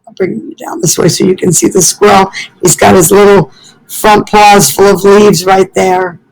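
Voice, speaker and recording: A woman's voice: medium pitch, level tone, normal speed, vocal fry, a little mellow, low-quality recording. A woman, a school librarian, reading a story aloud to young children and carefully explaining it in asides.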